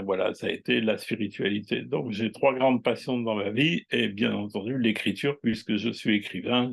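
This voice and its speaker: Gravelly, husky older man in his sixties. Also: lowish voice. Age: 60s. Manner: unhurried, hesitant, many fillers and tag words. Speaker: guest, writer and astrologer. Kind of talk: casual interview chat.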